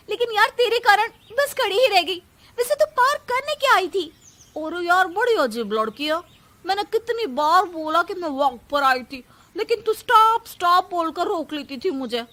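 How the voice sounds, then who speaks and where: A woman's voice: very high-pitched, expressive, a little slow, pushed and bright, voice pushed up, exaggerated voice. A woman voicing an annoyed young female friend in a cartoon story, complaining in exasperation. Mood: exasperated.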